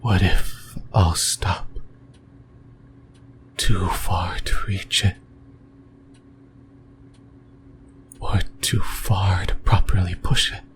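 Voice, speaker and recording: A man playing an obsessive, lonely sleep paralysis demon in a breathy, intimate whisper for an ASMR horror roleplay, hesitant and rambling. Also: low-pitched, steady tone, slow. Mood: anxious rambling.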